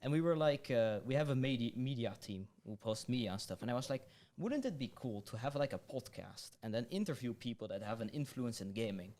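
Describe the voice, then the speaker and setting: A young man hosting a student podcast, speaking hesitantly with many pauses and giggles. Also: medium pitch, animated, a little mellow. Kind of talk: casual interview chat.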